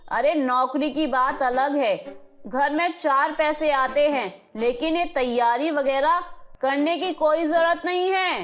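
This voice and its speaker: Woman acting a slow, high-voiced doting Indian mother. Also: steady tone. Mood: grumbling. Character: pragmatic, doting middle-aged Indian mother of a grown son. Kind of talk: animated Hindi moral story dialogue.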